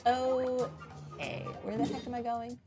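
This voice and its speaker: A woman's voice: on the high side, monotone, slow pace, over music. A young woman doing casual, informal gameplay commentary and reading game text aloud in a chatty way. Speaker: gaming playthrough streamer.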